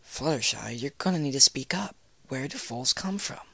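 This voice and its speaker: A man's voice: mid-range voice, animated, moderate pace, vocal fry, bright, forceful tone, husky, no room sound, voice pushed up, full character voice. A man voicing curious, blunt young girl ponies in a fan fiction reading, eager and plain-spoken. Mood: puzzled, insistent. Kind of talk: fan fiction read aloud in character.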